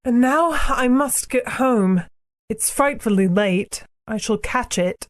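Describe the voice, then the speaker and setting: A storybook narrator voicing a brisk, indignant young girl with prim, cutting British schoolgirl phrasing. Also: mid-range voice, voice pushed up, close to an everyday voice. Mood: relieved, hurried. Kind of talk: audiobook character dialogue.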